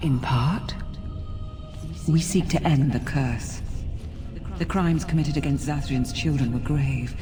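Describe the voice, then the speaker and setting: A serene, commanding forest spirit. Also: female voice, extremely low pitch, over music. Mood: gentle, earnest. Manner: measured, formal, soothing. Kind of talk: fantasy video game acting.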